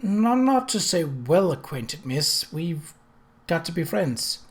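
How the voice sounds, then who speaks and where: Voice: mid-range voice, big pitch swings, slow. Young lady's maid, acted out by a narrator: flustered, truthful, deferential, hesitant delivery for period fiction. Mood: nervous, hesitant.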